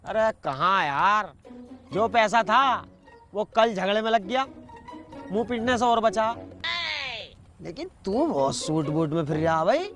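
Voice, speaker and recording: Man acting a high-voiced, questioning villager. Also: fairly bright tone. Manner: colloquial, questioning. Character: broke, curious, skeptical village man. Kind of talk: conversational sketch acting. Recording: faint music behind the voice.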